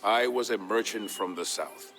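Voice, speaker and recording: Low-pitched male storyteller over music. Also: normal speed, a bit bright. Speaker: narrator of a game campaign storyline. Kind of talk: scripted dramatic storytelling narration.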